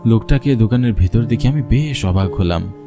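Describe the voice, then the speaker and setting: A man's voice: lowish voice, moderate pace, over music. A man narrating a scripted horror story in the first person, as a storyteller.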